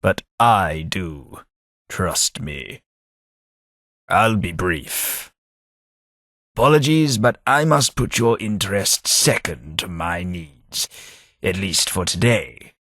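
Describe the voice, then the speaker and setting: An actor playing a formal, polite, long-lived London gentleman in a game, speaking with measured diction. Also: sits low, very animated, dry recording, studio quality, full character voice. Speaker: game companion. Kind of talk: game voice acting.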